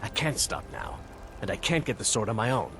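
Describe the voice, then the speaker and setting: An actor playing a proud, stubborn husband in a video game, speaking in an insistent way. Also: male voice, on the low side, big pitch swings, fairly quick, noisy background. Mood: defensive. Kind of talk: video game character dialogue.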